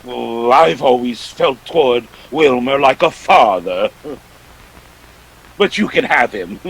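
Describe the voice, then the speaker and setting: Guy doing old-time radio villain boss, gravelly n husky, flowery polite talk, jolly but menacing. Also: medium pitch, lively intonation, slow pace, exaggerated voice, rough recording. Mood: genially menacing. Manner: florid, genteel, chuckling. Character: jovial, menacing older male crime boss, smooth and calculating. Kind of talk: 1940s radio drama acting.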